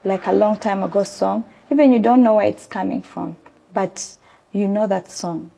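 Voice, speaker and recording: A young woman from South Sudan answering interview questions earnestly, pausing often with ums. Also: medium pitch, fairly even intonation, gravelly, mellow, a little hiss or hum. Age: young adult. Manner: earnest, hesitant with many ums. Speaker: interview guest. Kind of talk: conversational interview.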